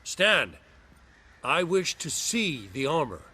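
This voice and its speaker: A haughty imperial general in a fantasy game. His voice is bright and a little high, with sweeping intonation, giving clipped formal orders full of disdain. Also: sing-song, slow, slightly rough, full character voice. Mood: stern. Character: haughty, imperious male general of an invading empire. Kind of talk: fantasy video game acting.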